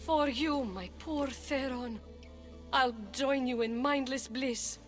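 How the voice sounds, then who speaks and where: A solemn, heartbroken woman in a game drama. Her voice is fairly high and very slow, formal in delivery, with music clearly behind it. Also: fairly bright tone. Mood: sorrowful, resolute. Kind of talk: dramatic video game acting.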